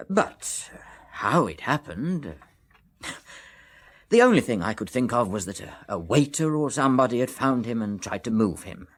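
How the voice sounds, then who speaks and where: An actor playing a measured, matter-of-fact doctor in a radio drama, with an educated English accent and a thoughtful manner. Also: male voice, fairly low pitch.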